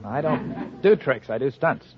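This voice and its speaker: A man's voice: mid-range voice, lively intonation, unhurried, noisy background. A man from Illinois with an American accent, answering interview questions plainly and literally as a quiz show contestant. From Illinois, United States. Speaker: contestant, stuntman. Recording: muffled vintage radio recording.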